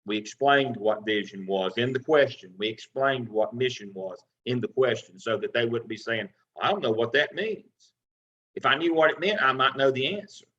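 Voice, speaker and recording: A man's voice: mid-range voice, normal speed, fairly bright tone, muffled recording. A man teaching as a professor, giving feedback to a student in an online class in a folksy way, often restarting and repeating words. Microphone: video call.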